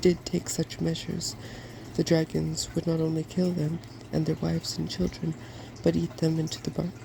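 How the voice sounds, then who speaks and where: A softspoken ASMR host reading a fairy tale from a storybook in a gentle, quiet way. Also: medium pitch, monotone, normal speed. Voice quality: soft-spoken. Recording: clearly noisy background.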